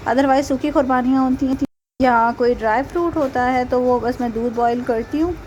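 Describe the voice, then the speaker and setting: A woman's voice: high-pitched, fairly even intonation, normal speed, a little mellow, dull audio, slight background noise. A young Pakistani woman with a Pakistani Urdu accent, chatting casually in a vlog voice-over. She laughs often and uses many fillers. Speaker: vlogger.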